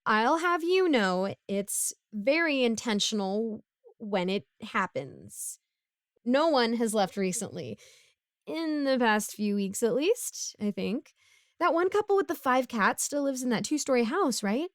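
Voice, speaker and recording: A woman's voice: on the high side, sing-song, a little slow, no echo, clean studio sound. A woman playing a broke, bumbling, chatty supervillain neighbor in a casual audio roleplay, rambling awkwardly as she pleads and justifies herself. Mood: sheepish, chatty.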